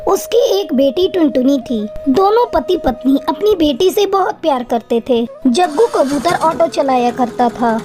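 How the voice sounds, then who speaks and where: A woman's voice: sits high, lively intonation, normal speed, hardly any pauses, music in the background. A woman narrator reading a scripted children's cartoon story.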